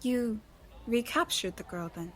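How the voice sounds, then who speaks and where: An actress playing an anxious, principled young heroine in an audio drama, hesitant and cautious. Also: female voice, on the high side, animated, fairly bright tone. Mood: flustered. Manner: hesitant, halting.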